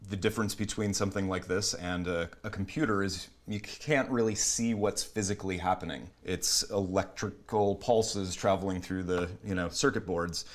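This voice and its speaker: A young man with a low voice explains how things work, slow and careful. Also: fairly even intonation. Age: young adult. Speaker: repair apprentice.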